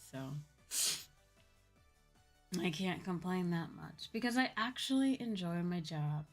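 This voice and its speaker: A tired, slightly sleepy woman hosting a casual livestream chat in an unhurried way. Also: fairly low pitch. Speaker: VTuber livestream host. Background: soft music.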